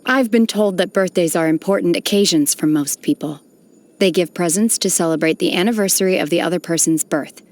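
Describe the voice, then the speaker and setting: An actress playing a solemn, aloof young woman trained by immortals in a video game, speaking in a formal, measured, restrained way with a touch of melancholy. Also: fairly low pitch, a little mellow, lightly acted. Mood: calm, tender. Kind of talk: scripted video game character voice lines.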